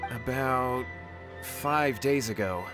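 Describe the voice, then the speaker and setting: A man's voice: medium pitch, slow, natural voice. A man voicing an earnest, anxious young supplicant in a video game, pleading, deferential and hesitant. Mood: flustered. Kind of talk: video game character acting. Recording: game music behind the voice.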